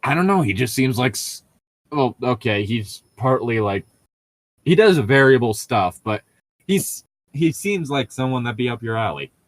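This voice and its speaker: A man co-hosting a casual chat, rambling through stories in an excitable, profane and laughter-prone way. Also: mid-range voice, moderate pace, no room sound.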